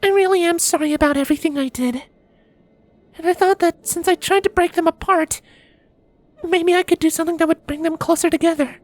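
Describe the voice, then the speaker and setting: A man's voice: very high voice, fairly even intonation, a little fast, faint music behind, voice pushed up. A man voicing an earnest female pony princess in a fan fiction story reading. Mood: meek, apologetic. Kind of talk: fan fiction audiobook character voice.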